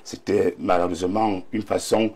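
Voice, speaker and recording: A man's voice: low voice, steady tone, normal speed, a little mellow. A man with a Cameroonian accent and a slight cold gives emphatic debate commentary as a panelist, often repeating key words for weight. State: slight cold, throat clearing.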